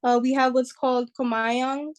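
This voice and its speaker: Casting: young woman, somewhat high voice, easygoing, reflective podcast guest. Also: flat delivery, normal speed. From New York. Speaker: guest singer. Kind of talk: casual interview chat.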